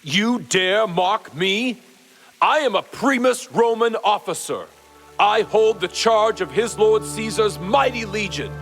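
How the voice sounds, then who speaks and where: An actor playing a proud, commanding Roman officer who speaks formally and thoughtfully in a Bible audio drama. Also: male voice, sits high, expressive, a bit bright, faint music behind, full character voice. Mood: indignant. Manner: formal, stately, measured.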